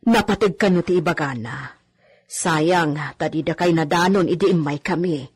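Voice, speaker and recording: A husky, slightly low female voice in an Ilocano radio drama. a chatty village woman, deferential to her richer host, speaking with an Ilocano accent. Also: normal speed, darkish tone, dry recording, studio quality.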